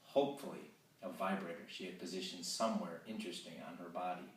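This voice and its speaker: Deep-voiced man reading romance aloud. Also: fairly even intonation, fast talker, a bit bright. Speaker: narrator reading an excerpt. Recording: roomy, distant, faint hiss.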